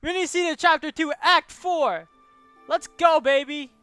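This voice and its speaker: A woman's voice: high-pitched, normal speed, pushed and bright, no room sound, faint music behind. A woman streaming a video game with exuberant, casual, sweary live commentary. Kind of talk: excited live gameplay commentary.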